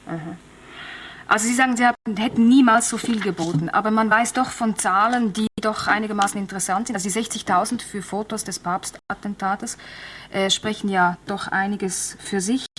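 A woman's voice: mid-range voice, steady tone, brisk, fairly bright tone, slight background noise. A woman hosting a radio show, questioning a caller in a probing, persistent way. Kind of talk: radio interview.

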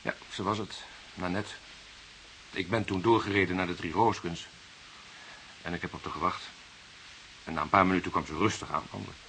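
Jittery dutch suspect in a radio play, really deep voice, stumbles and repeats words, slight hiss. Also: male voice, steady tone, brisk, pauses often, sharp tone, poor audio. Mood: uneasy, explaining. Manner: hesitant, stammering, repeats words. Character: nervous, defensive lovelorn male murder suspect. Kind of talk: Dutch radio drama acting. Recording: hissy radio play recording.

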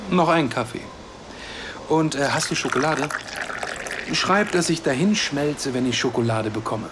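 A man's voice: medium pitch, normal speed, lightly acted. Actor as earnest, wry Palestinian man, noisy ambience. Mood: playful. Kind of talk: naturalistic German radio drama acting.

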